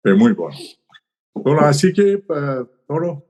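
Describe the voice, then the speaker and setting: An older man tells his story as a podcast guest. His voice is mellow and dark, and his pitch moves up and down a lot. He talks with many pauses, fillers and tag questions. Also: big pitch swings. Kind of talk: conversational storytelling.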